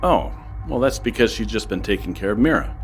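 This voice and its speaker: A man's voice: lowish voice, lively intonation, brisk, faint music behind. Evasive man, swooping intonation. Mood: feigned ease. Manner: guarded, feigning innocence. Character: evasive man covering for a missing student. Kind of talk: audio drama acting.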